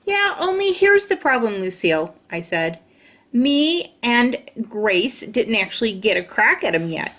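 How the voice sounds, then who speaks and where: Brash chatty kindergarten girl, slow and swooping, storybook reading. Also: on the high side, sing-song, fairly bright tone, heavily acted. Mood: dazzled. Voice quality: childlike, bossy, ungrammatical storytelling. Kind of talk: audiobook narration.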